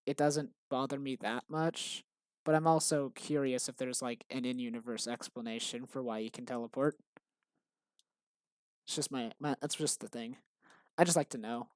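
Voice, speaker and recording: A tired man rambles in a monotonous, deadpan way while he works on his game. His voice is mid-pitched and dry. He sits close to a desktop mic. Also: normal speed, smooth, no room sound. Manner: tired, low-energy. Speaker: game developer vlogger. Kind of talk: casual rambling commentary while working.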